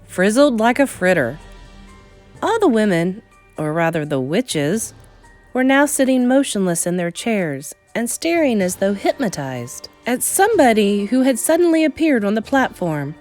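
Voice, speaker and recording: A woman narrates a children's novel in a mid-pitched, highly animated voice. She leans into key words and leaves deliberate pauses for suspense. Soft music plays behind her. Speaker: storybook reader. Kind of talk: dramatic read-aloud narration of a children's novel.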